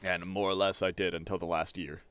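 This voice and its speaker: Low-voiced man telling casual stories, slightly dull audio. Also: level tone, brisk, a bit bright. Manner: rambling, profane, often chuckles while talking. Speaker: streamer telling personal stories while gaming. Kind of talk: casual anecdotal storytelling over gameplay.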